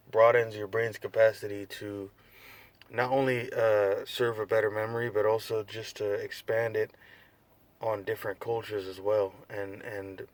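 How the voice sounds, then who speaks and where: A man's voice: fairly low pitch, no echo. A tired young man from the United States vlogging casually, rambling with lots of fillers and restarts. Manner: laid-back, rambling, many fillers and restarts. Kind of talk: unscripted casual vlog.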